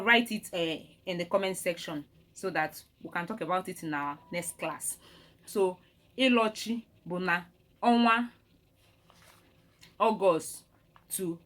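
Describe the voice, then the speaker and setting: A woman with a Nigerian Igbo accent, a native Igbo speaker, teaching a language lesson deliberately and repeating terms for learners. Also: mid-range voice, a bit bright. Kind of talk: instructional lesson with repeated vocabulary.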